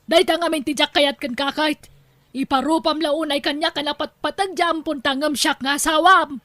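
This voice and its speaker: A woman's voice: high voice, steady tone, moderate pace, sharp tone, no echo. A woman with an Ilocano accent playing a nagging, demanding wife and mother in an audio drama. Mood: jealous, demanding. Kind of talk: Ilocano radio-style drama acting.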